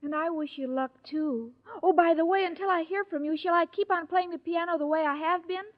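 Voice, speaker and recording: A woman's voice: very high-pitched, a little fast. An actress playing a sweet, naive, dim-witted young woman in a 1940s radio comedy, guileless and earnest. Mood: innocent, earnest. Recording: muffled 1940s radio broadcast.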